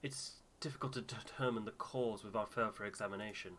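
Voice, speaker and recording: A man voicing a careful, concerned ship's doctor in a game, measured and formal. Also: fairly low pitch, a bit bright, slight background noise, lightly acted. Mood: grave, concerned. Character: earnest, discreet male expedition doctor, concerned and careful.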